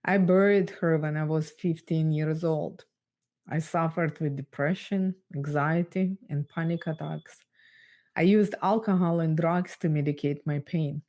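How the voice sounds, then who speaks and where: Slow-spoken woman with a Russian accent. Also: low voice, steady tone, warm tone. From Ukraine. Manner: earnest, measured storytelling with pauses. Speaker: guest speaker. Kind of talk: personal testimony in an interview.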